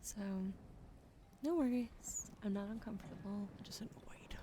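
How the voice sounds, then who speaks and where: A woman's voice: on the high side, normal speed. A young woman streamer with a wheezy throat, whispering in ASMR style, thanking viewers and swearing casually.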